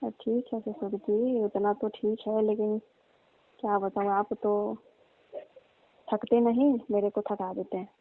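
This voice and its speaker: A woman's voice: medium pitch, little pitch movement, unhurried, close to an everyday voice. A woman playing a tired, complaining young daughter-in-law pleading in a staged, conversational phone call. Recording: dull, band-limited phone call recording.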